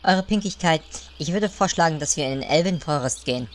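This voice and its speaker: Woman doing a fawning gnome sidekick, low voice, hesitant n servile, comedy audio play. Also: animated, a little fast. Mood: deferential. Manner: hesitant, deferential. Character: servile, hesitant pink gnome royal advisor. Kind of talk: comedic fantasy radio play acting.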